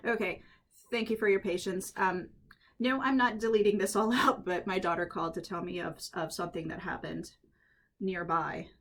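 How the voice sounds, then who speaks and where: A woman at home, a little croaky from allergies, chatting through a head-wrap tutorial and thinking aloud as she works. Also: on the low side, fairly even intonation, normal speed, a bit bright. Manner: chatty, thinks aloud while working, frequent restarts. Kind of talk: casual hands-on tutorial.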